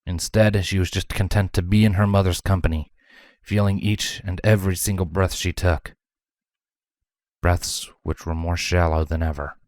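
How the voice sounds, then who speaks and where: A man's voice: sits low, steady tone, normal speed, a little mellow, no room sound, clean studio sound. A man reading a story aloud as scripted narration. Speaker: fan fiction narrator.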